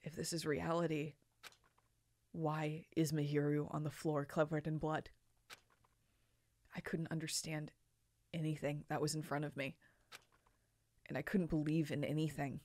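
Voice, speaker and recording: A woman's voice: low voice, level tone, unhurried, long pauses, darkish tone, no echo. A woman voicing a shocked, grieving young man narrating a video game story in a subdued, solemn way. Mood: stunned, somber.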